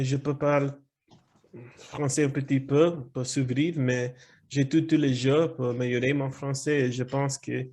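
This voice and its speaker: Slow, hesitant man speaking learner's French. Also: mid-range voice, darkish tone. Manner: hesitant, searching for words, polite. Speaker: new club member introducing himself. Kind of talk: hesitant spoken self-introduction. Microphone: video call.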